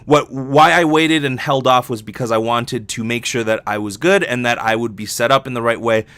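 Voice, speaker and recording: A man's voice: mid-range voice, moderate pace, fairly bright tone, dry recording. Man in a dry, close podcast monologue. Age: young adult. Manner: candid, rambling, swearing freely. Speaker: podcast host, voice actor. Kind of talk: casual solo podcast monologue.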